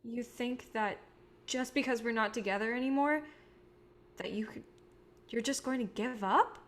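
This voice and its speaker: A woman playing an earnest, idealistic female filmmaker in a staged play reading, speaking in a sincere, measured way. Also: fairly high pitch, natural voice. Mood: hurt, pleading.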